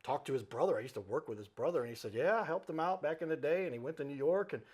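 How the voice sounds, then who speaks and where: A man's voice: fairly high pitch, lively intonation, very fast, husky. A middle-aged man hosting a casual interview show, chatty and given to storytelling with asides and quoted dialogue. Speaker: talk show host.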